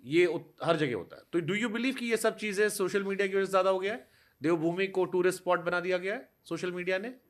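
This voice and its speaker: Chatty fairly high-voiced male interviewer. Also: a little fast. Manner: long wandering questions, mixes English phrases, frequent hums of agreement. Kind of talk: casual interview questions.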